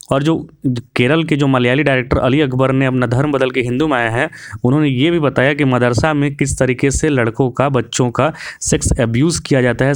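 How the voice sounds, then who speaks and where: A man gives opinionated commentary in a clear, smooth voice. He sounds preachy and drops English words into his talk. The sound is dry, with very little room echo. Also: medium pitch. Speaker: video commentator.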